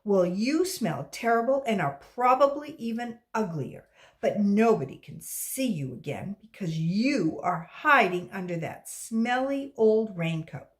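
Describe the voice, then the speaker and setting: A woman's voice: medium pitch, expressive, a little slow, close to an everyday voice. A woman voicing whiny, tattling, disgusted young schoolchildren from a storybook. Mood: indignant.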